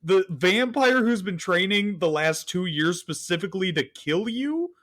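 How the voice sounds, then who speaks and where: A man's voice: high-pitched, animated, a little slow, a bit bright. A man chatting casually about movies in a wry, nitpicking way. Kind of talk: casual movie discussion podcast chat.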